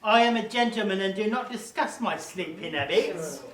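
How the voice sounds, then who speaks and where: A woman's voice: medium pitch, expressive, normal speed, close to an everyday voice. A woman playing a drunken, curt and sardonic performer with mock dignity in a radio play. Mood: groggy, mock-dignified. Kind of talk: comic radio play acting. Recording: echoey room, far from mic.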